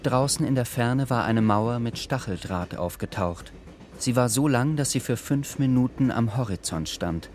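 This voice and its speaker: A man's voice: mid-range voice, lively intonation, moderate pace, darkish tone, slight background noise. A man narrating a radio drama as scripted literary prose.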